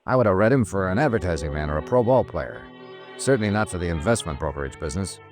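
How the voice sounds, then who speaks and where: A low-pitched, hard-boiled investigator in a vintage radio detective drama. He is clipped, dry and matter-of-fact, both narrating and questioning, with music in the production. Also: expressive, quick pace. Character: hard-boiled male insurance investigator, cool and probing. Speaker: narrator and lead character.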